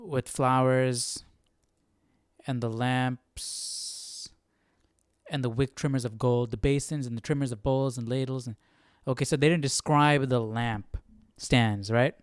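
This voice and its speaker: A man's voice: mid-range voice, steady tone, unhurried, clear, resonant tone, no echo, studio quality. A young man hosting a Bible study stream, casually thinking aloud in a hesitant, questioning way. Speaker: Bible study livestream host.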